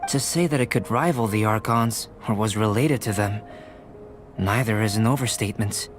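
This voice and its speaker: A man playing a calm, analytical young alchemist in a video game, speaking in a measured, precise and formal way. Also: fairly low pitch, close to an everyday voice. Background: music.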